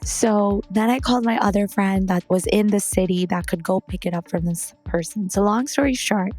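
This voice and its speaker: A young woman hosting a podcast, telling a story in a chatty, animated way and then welcoming a guest. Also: medium pitch, normal speed, soft background music. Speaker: podcast host. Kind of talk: casual storytelling and interview chat.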